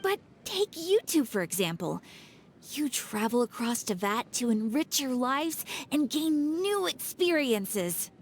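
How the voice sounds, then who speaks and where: A woman voicing a relaxed young receptionist on her break, casual and a little philosophical. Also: sits high, measured pace, slight background noise, full character voice. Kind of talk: game cutscene voice acting.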